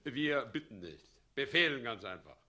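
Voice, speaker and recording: An actor playing a smug, menacing tyrant president in a 1960s German radio play, pompous, mocking and commanding. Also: male voice, medium pitch, very animated, normal speed, pushed and bright. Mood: pompous, grandiose.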